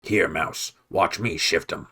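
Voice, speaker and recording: A scornful, menacing rat warlord from a storybook, sneering with cold command, as a man puts him on. The voice sits deep and low, growling through each threat. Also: steady tone, slow, pushed and bright, slightly rough, exaggerated voice. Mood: mocking. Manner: contemptuous, growling.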